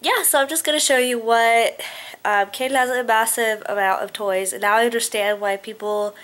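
Stuffed-up young mom, chatty and rambling. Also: female voice, a little high, fairly even intonation, normal speed, a bit bright. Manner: chatty, rambling, heavy on fillers like um and like. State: stuffed-up nose from a cold. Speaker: stay-at-home mom vlogger. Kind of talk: casual vlog chat. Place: living room at home, indoors. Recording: noisy home camera audio.

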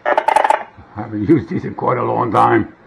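A man's voice: mid-range voice, little pitch movement, measured pace, creaky. A middle-aged man casually showing a gadget in a rambling, muttering way, recorded at a table at home. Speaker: vintage gadget demonstrator. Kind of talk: casual hands-on demonstration. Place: indoors at a wooden table at home. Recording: dull, noisy home camera audio.

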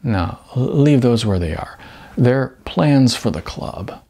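A man's voice: medium pitch, creaky, husky, heavily acted. Man putting on a sluggish, dismissive young stoner guy. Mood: stoned, flat. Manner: slow drawl, flat and dismissive. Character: young male stoner club owner, sluggish and unenthusiastic.

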